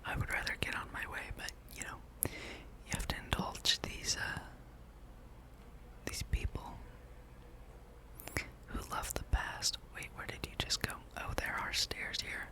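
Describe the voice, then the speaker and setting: A person whispering a relaxed ASMR gameplay commentary, breathy and rambling, with dry, wry asides. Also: a little slow. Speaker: host of a gaming ASMR channel.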